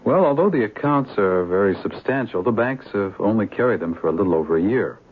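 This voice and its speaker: A man's voice: on the low side, animated, fairly quick. A man acting a methodical, skeptical police lieutenant in a 1970s radio drama. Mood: matter-of-fact. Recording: muffled, faintly noisy 1970s radio broadcast.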